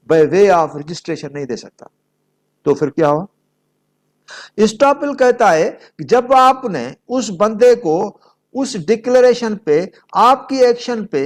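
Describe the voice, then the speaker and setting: A man giving an explanatory law lecture, reading out formal text and restating each point in a didactic way. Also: high-pitched. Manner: didactic, repeats and rephrases points. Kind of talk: explanatory lecture mixing read-out statute and plain examples.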